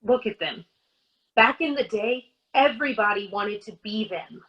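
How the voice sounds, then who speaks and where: A woman's voice: medium pitch, lively intonation, normal speed, lo-fi sound, close to an everyday voice. A woman reading the part of a fifteen-year-old superhero fangirl in a staged play reading over a video call, with a wistful, chatty voiceover delivery.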